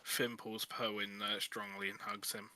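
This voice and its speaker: A man's voice: fairly low pitch, little pitch movement, a little slow, sharp tone, no echo. A man narrating a script in a casual group reading, often breaking off into jokey asides.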